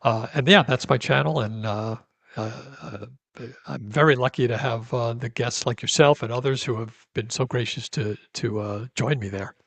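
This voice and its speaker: Male podcast guest, medium pitch, gracious and slightly hesitant, conversational, recorded very dry. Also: moderate pace, creaky, breathy edge, no echo. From New Jersey, United States. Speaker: podcast guest, YouTube commentator. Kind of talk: casual chat.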